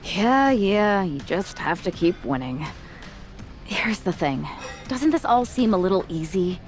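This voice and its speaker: An actress playing a candid, teasing confidante with a dry, skeptical delivery in a video game cutscene. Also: female voice, medium pitch, big pitch swings, a little slow, faint music behind. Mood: concerned, skeptical. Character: candid, teasing young woman, wrestler's longtime confidante.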